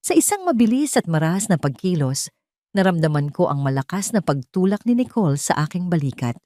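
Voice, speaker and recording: A woman's voice: lowish voice, big pitch swings, fairly quick, warm tone, no room sound, studio quality. A woman reading a scripted first-person story in a solemn, melodramatic way. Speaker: story narrator.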